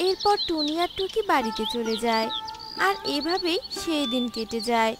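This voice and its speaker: A woman narrator reading a scripted children's story. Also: sits high. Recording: music behind the voice.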